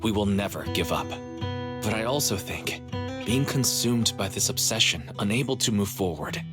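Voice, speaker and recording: An actor playing a supportive, resolute young man in a video game, speaking calmly and earnestly to reassure. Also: fairly low pitch, moderate pace, music in the background, natural voice. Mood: earnest, reassuring. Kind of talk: dramatic video game dialogue.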